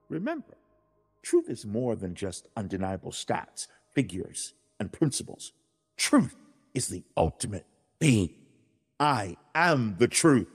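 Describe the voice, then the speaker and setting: A man playing God as a stern fatherly teacher, solemn and declamatory, in a dramatized religious audiobook monologue. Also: mid-range voice. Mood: commanding. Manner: solemn, declamatory, lecturing with rhetorical questions. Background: music.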